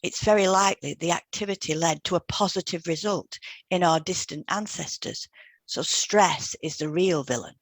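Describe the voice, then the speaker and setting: A woman co-hosting an educational podcast, reading scripted explanations in a measured, explanatory way. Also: on the low side, dry recording. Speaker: podcast co-host, hypnotherapist. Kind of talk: scripted podcast discussion, read aloud.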